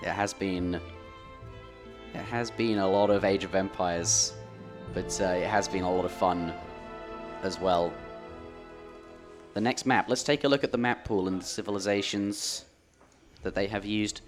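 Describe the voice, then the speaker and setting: A man's voice: low voice, unhurried, pauses often, faint music behind. A man casting a live esports match in a studio, chatty, his voice strained after days of commentary. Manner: chatty, reads viewer messages aloud. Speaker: esports caster.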